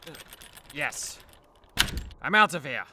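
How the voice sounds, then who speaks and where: An actor playing a brash, commanding supervillain in a superhero comedy radio play, with noisy sound effects behind him. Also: male voice, a little high, very animated, slow pace. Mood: sly. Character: brash male supervillain ordering his henchmen around.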